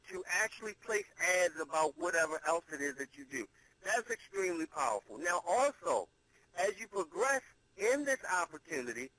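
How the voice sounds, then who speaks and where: A man giving a promotional conference-call sales pitch, emphatic and salesman-like, with deliberate pauses for effect. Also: bright, forceful tone, no room sound, low-quality recording. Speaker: presenter pitching an online money-making program.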